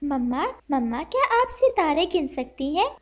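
A woman's voice: sits high, sing-song, normal speed, a little mellow. A woman voicing a small, innocent young boy bird in a children's cartoon story, with an earnest, childlike manner. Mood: eager. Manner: childlike, pleading.

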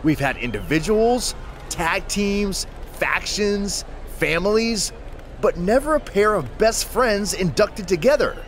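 A polished red-carpet reporter speaks in a broadcaster's expressive voice with a wide pitch range. His pitch sits slightly high, with a faint hiss behind him in this game cutscene. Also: slow. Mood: broadcast. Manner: smooth broadcaster delivery.

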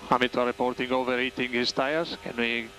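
Guy doing race engineer radio calls, short factual bits, says stuff twice, loud noise behind. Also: medium pitch, little pitch movement, fairly quick, rough recording. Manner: terse, often repeats phrases for clarity. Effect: team radio transmission. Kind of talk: clipped, factual radio instructions.

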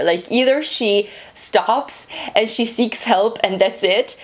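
A lively woman in her thirties talks casually to the camera about her reading, voice at a middling pitch. She gestures as she goes and swears now and then, recorded on a camera set up in front of her in a small room. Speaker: book vlogger. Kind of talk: casual book review chat.

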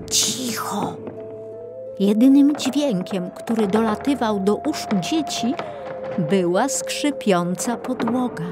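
A woman narrating a scripted children's story in an expressive, suspenseful way. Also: mid-range voice.

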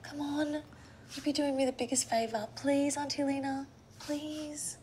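An eager naive volunteer. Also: female voice, sits high, level tone, unhurried, mellow, slight background noise. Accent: Australian. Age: young adult. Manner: eager, breathless, well-meaning. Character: naive earnest young woman volunteer producer. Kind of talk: TV comedy acting.

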